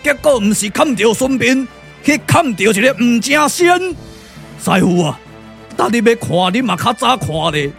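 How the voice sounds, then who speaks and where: A man with a Taiwanese accent voicing an excitable, complaining warrior disciple in a puppet-theatre radio drama, gabbling and whining comically. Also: very high-pitched, a little slow, creaky, faint music behind, voice pushed up, heavily acted. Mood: dismayed, grumbling.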